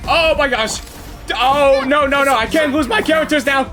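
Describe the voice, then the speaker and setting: High, bright, excitable gamer guy. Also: moderate pace, soft background music. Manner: excitable, shouts and exclaims constantly. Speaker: gaming channel host. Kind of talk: live gameplay reaction.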